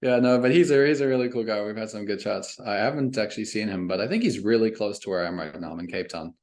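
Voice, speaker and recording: A young man from South Africa with a mixed South African and Canadian accent, telling his story as a podcast guest in a relaxed, laid-back way. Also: on the low side, fast. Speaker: guest, email marketing coach. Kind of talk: casual interview chat.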